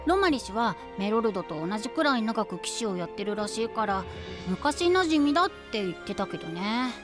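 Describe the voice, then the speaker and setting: A man's voice: high voice, very animated, normal speed. A man voicing a boyish, candid, self-deprecating young knight in an anime-style voice drama, with casual, changeable delivery. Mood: musing, self-deprecating. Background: prominent background music.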